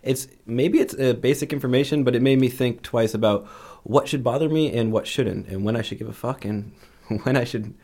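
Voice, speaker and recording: A man's voice: mid-range voice, brisk, hardly any pauses, a little mellow. A man speaking casually and informally as a guest on a podcast. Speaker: podcast guest, video journalist. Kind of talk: casual chat.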